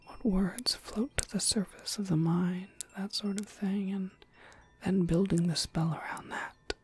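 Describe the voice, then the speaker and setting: A woman playing a gentle, courteous witch shopkeeper in an ASMR roleplay, speaking in an unhurried, breathy whisper. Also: fairly low pitch, full character voice. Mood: gently inviting. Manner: unhurried, polite, softly explanatory. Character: gentle witch shopkeeper, courteous, quietly proud of her craft.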